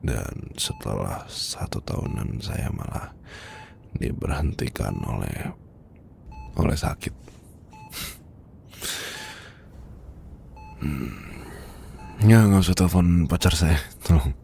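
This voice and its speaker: A man playing a weary, heartbroken boss confiding from his sickbed in an ASMR roleplay, with an Indonesian accent and a hesitant, breathy, murmuring delivery. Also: rumbling low, very animated, a little mellow, slight background noise, exaggerated voice. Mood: weary, confused, then flustered, evasive. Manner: hesitant, murmuring, halting, sighing.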